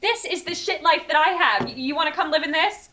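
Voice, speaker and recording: Woman acting a high-pitched, despairing drunk young mother. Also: a little fast, sharp tone. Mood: anguished outburst. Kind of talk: screenplay table read acting.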